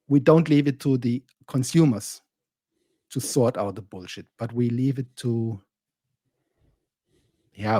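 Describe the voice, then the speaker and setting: Podcast co-host, man, husky mellow voice, argues a point, dry close mic. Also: mid-range voice, dry recording. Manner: argues a point, acts out quoted remarks. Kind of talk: casual discussion.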